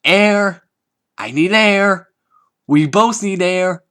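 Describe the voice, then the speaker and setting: A man's voice: high voice, very slow, a bit bright, clear, resonant tone, voice pushed up, exaggerated voice. A man doing a brash sporty teen girl. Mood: wheezing. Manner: strained, wheezing when squeezed.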